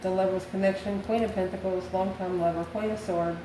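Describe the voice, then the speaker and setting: A woman's voice: mid-range voice, fairly even intonation, a little fast. American lady doing a casual tarot reading, kinda musing to herself, roomy echo and background noise. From United States. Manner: musing aloud, asks and answers own questions. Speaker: tarot card reader. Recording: echoey room, clear background noise.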